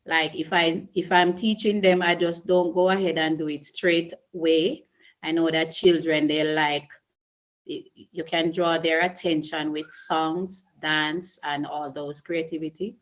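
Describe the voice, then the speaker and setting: A middle-aged woman with a Jamaican accent speaks slowly and deliberately in a low voice. She answers earnestly, pausing and restarting as she works through her thoughts, like a school principal being interviewed. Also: fairly even intonation. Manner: slow, measured, earnest with restarts. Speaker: early childhood school principal.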